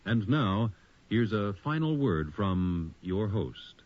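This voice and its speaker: A man reading scripted credits as a radio announcer in a formal, measured way. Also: fairly low pitch, big pitch swings, a little mellow. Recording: muffled radio broadcast over music. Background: music.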